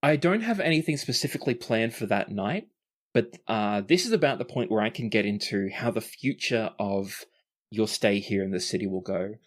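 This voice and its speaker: A person with a medium-pitched voice, narrating a tabletop roleplaying game casually as game master. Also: animated, moderate pace.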